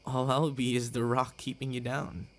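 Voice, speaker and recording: A man playing a tender, heartbroken young boyfriend in an intimate ASMR roleplay, with halting, stammering delivery and sighs. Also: medium pitch, level tone, close to an everyday voice. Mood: wistful, self-deprecating.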